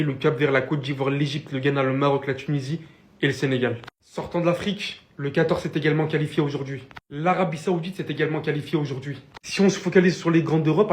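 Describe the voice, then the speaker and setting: Man with a fairly high voice, lively and direct football recap for viewers. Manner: lively, addresses viewers as "les gars". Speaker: football reaction creator. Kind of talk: casual football rundown.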